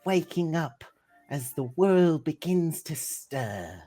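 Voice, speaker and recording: Guy doing a big gentle walrus poet, lifted pitch, slow, sighing, dreamy. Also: very animated, soft background music, full character voice. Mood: dreamy contentment. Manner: sighing, wistful. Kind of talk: in-character improvised roleplay.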